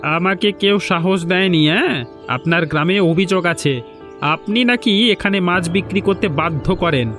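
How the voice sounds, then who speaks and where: A man voicing a bold visitor to a village in a cartoon folk tale. He has an unusually high voice for a man and talks with cheeky confidence, over faint music. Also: moderate pace, fairly bright tone. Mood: defiant. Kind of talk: Bengali animated folk-tale dialogue.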